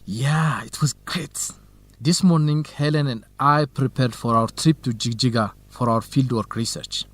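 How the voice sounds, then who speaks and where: An actor playing an earnest, cautious, lovestruck young research assistant in an educational radio drama. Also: male voice, medium pitch, measured pace, no echo, natural voice. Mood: warm, casual. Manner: earnest, anxious, principled.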